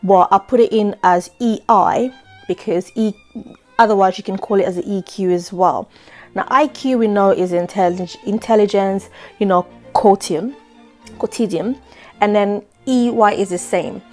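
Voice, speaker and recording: A woman's voice: medium pitch, normal speed, darkish tone, faint music behind. A middle-aged woman, a life coach hosting her own show, talking earnestly and often saying "you know". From Democratic Republic of Congo. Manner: earnest, repeats words, frequent "you know". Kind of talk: talk-show monologue.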